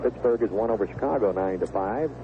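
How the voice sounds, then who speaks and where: A man's voice: fairly low pitch, brisk. A man calling live baseball play-by-play on the radio as the game's announcer. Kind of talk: live sports commentary. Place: stadium broadcast booth. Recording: narrow, noisy 1970s AM radio broadcast.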